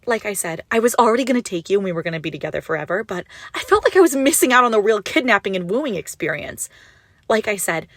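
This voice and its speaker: A woman playing an obsessive, gushing yandere kidnapper in a roleplay audio drama, menacingly sweet, with breathy, doting coos. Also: mid-range voice, a bit bright, no room sound, studio quality. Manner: breathy doting coos, often dropping to whispers. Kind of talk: roleplay audio drama, intimate monologue to listener.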